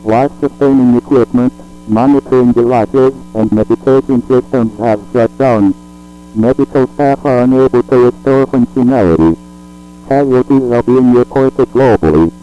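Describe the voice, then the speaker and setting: A synthetic-sounding man reads a scripted emergency alert in a formal, impersonal, flat manner. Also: medium pitch, moderate pace. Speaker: emergency broadcast announcer. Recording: dull lo-fi audio with music.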